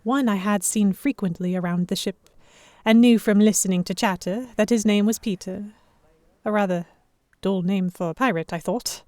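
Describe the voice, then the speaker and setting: A woman's voice: medium pitch, normal speed, a little mellow, dry recording. Woman narrating, close dry sound. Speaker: narrator and lead actor. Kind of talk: first-person scripted audio drama narration.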